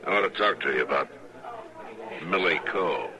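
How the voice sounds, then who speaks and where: A man playing a calm, firm frontier marshal in a radio western, speaking in terse, measured lines. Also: sits low, lo-fi sound. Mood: firm warning. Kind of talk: old-time radio western acting. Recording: old radio recording with background crowd murmur.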